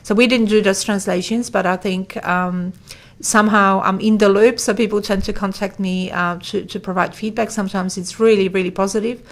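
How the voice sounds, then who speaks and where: Native Polish-speaking woman with a creaky voice. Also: medium pitch, fairly even intonation, a little fast. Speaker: interviewee, translation specialist. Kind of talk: conversational interview.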